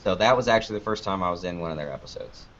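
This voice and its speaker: A man with a fairly low voice answers interview questions casually. He talks in a joking, anecdotal way and voices bits of remembered conversations. The sound is somewhat dull and lo-fi. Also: lively intonation, very fast, fairly bright tone. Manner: joking, anecdotal, acts out quoted exchanges. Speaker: podcast producer and video creator being interviewed. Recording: dull lo-fi audio.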